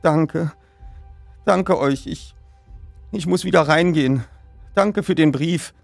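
A man's voice: a little high, creaky, no room sound, faint music behind, voice pushed up. Young boy for a detective storybook, played by a man: anxious, sad, thin raised voice, hesitant and upset. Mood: dejected. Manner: halting, upset.

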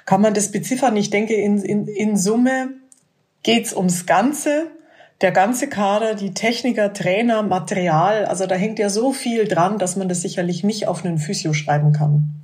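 A woman, a physiotherapist telling lively stories in a podcast interview, with many hesitant fillers. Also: mid-range voice, moderate pace. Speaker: physiotherapist of a national bobsleigh team.

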